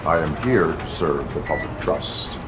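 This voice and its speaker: A man playing a stoic cyborg police officer in a video game, speaking in a flat, clipped, formal way. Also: rumbling low, measured pace, noisy background.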